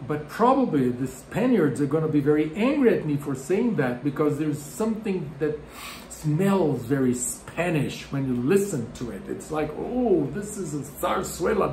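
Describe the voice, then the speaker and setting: A man in his fifties from Brazil with a Brazilian Portuguese accent, explaining music terms to the camera with lively gestures and self-deprecating asides. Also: on the high side, slight background noise. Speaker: music educator. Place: home room with bookshelves. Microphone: camera at arm's length. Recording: roomy home room with faint ambience.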